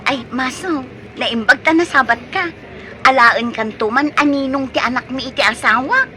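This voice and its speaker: A woman's voice: high voice, normal speed, over music, close to an everyday voice. A woman playing a nosy, doting older Filipino mother in a comedic radio drama, teasing and easily startled. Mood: prying.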